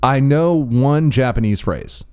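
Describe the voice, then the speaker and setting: A man's voice: mid-range voice, animated, takes it slowly, a little mellow, smooth. A man co-hosting a talk show, teasing his partner with dry humor in casual banter. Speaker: co-host.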